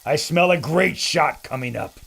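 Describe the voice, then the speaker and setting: A man's voice: a little high, animated, a little slow, creaky, a bit bright, a little hiss or hum, full character voice. An actor playing a brash male adventure photographer who throws out slangy wisecracks. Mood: eager. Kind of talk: audio drama acting.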